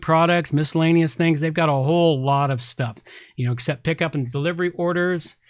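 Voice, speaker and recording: A man's voice: on the high side, clean tone, no room sound, clean studio sound. A man hosting a podcast, talking casually and informally in a rambling way as he gives announcements. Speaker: podcast host.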